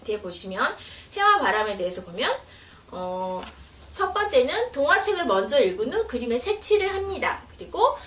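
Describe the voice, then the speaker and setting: A woman with a fairly high, lively voice walks parents through teaching materials step by step. Her delivery is informal and patient. She is recorded a little away from the mic in an echoey room. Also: moderate pace. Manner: patient, step-by-step, acts out mother-child example dialogue. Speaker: educator presenting teaching materials. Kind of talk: informal explanatory product demonstration for parents. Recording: roomy, distant mic, faint noise.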